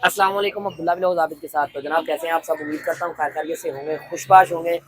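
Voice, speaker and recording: A man's voice: fairly high pitch, fairly even intonation, very fast. A man with a sore throat, hosting a casual shop tour vlog and chatting with shopkeepers and customers. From Pakistan. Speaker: vlogger host. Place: busy dry fruit shop.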